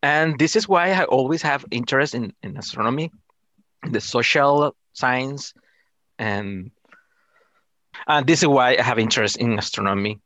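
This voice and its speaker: A middle-aged man from El Salvador with a Salvadoran Spanish accent, answering casually in halting English, often repeating and rephrasing his words. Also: mid-range voice, a bit bright, no echo. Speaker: interview guest, amateur astronomer.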